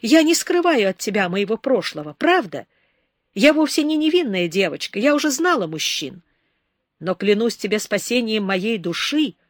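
A woman's voice: medium pitch, sing-song, normal speed, no echo, clean studio sound, pitched above the performer's own voice. A woman doing a tearful young girl pleading. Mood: earnest. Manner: impassioned, pleading, near tears.

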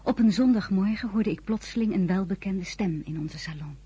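Brief: young French wife and diarist, mellow, extremely breathy, gentle with quiet worry, radio drama. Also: female voice, medium pitch, normal speed. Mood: hushed narration. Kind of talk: radio play acting, diary narration.

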